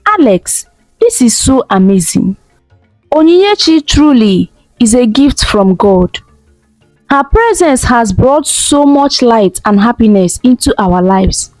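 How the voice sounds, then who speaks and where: A woman voicing a tender, tearful young wife, speaking softly. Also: a little high, very animated, takes it slowly, no room sound, faint music behind, rough recording. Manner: soft, heartfelt.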